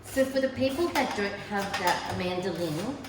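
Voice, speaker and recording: A woman hosting a cooking show, chatty with quick short replies to her guest. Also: medium pitch, expressive. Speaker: host. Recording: echoey, far from the mic, music behind.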